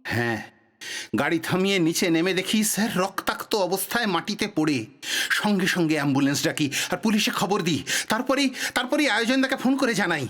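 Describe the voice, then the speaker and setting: Audio drama motorist, male actor: fairly high voice, polite, deeply troubled, guilt-laden. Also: sing-song, a little fast, a bit bright. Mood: shaken, mournful. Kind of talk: Bengali audio drama acting.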